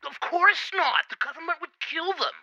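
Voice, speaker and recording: An earnest, defiant male conspiracy caller in a radio play. His voice is piercingly bright and edgy with a creaky grain, pressing each point insistently. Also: very high-pitched, sing-song, moderate pace, dry recording, highs cut off, exaggerated voice. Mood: indignant. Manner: insistent, indignant.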